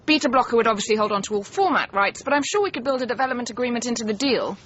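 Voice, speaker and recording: An actress playing an eager, flattering television development executive who gushes in sales jargon in a radio comedy. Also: female voice, on the high side, rapid-fire, muffled recording, slight background noise. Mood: brisk, selling. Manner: gushing, jargon-laden salesmanship. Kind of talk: radio comedy drama acting.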